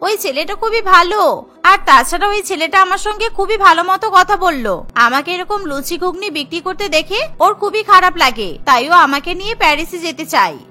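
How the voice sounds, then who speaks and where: A woman's voice: very high voice, animated, brisk, sharp tone, faint music behind, full character voice. A woman voicing a naive, stubborn teenage girl in a cartoon, speaking insistently. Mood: earnest. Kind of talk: Bengali cartoon dialogue.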